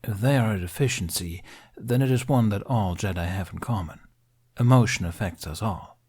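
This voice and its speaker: A man reads as a stern, calm male mentor in an audiobook. His voice is on the low side, and he speaks in a measured, restrained way. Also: husky. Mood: calm, patient. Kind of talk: audiobook character voice.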